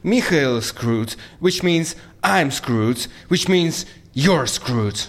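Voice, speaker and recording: An actor playing a scheming, anxious government agent with a businesslike, pressing manner in an audio drama. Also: male voice, a little high, expressive, very slow, full character voice. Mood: anxious pleading. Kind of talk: audio fiction acting.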